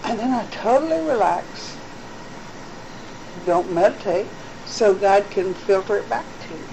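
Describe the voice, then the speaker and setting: A woman's voice: mid-range voice, expressive, measured pace. Woman psychic giving someone advice one on one, kinda teachy and insistent, noisy old home tape. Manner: instructive, emphatic, repeats herself. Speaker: psychic giving a private reading. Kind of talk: one-to-one advice, casual and unscripted.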